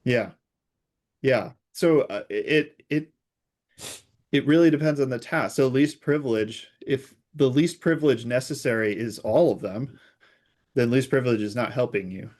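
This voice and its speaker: A man's voice: medium pitch, measured pace, darkish tone. A man speaking as an expert guest in an interview, explaining technical concepts through hypothetical examples. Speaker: expert guest, security researcher.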